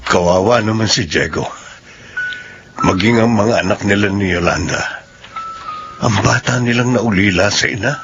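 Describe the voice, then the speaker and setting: A man's voice: fairly low pitch, moderate pace, pauses often, over music, close to an everyday voice. A man acting in a radio drama as a good-natured, forgiving husband and dutiful son, respectful and good-humoured. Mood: respectful, sympathetic. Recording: dull lo-fi audio with background noise.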